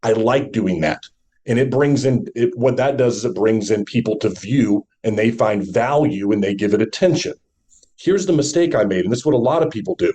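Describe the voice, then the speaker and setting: Deep-voiced man coaching with confidence. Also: animated, brisk, dark tone. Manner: confident, coaching, rhetorical questions. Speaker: podcast guest, brand and media speaker. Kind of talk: motivational podcast talk.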